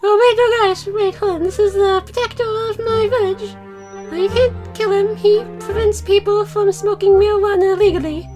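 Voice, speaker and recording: A woman's voice: extremely high pitch, fairly even intonation, moderate pace, music in the background. A woman voicing a cheeky, flustered cartoon girl with a foul mouth, hesitant and rambling, in an improvised parody dub. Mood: earnest.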